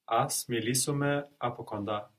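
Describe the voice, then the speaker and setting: A man's voice: mid-range voice, moderate pace. A man in the middle of a one-on-one language lesson. He gives brief, careful answers and repeats phrases back to his teacher. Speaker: language student.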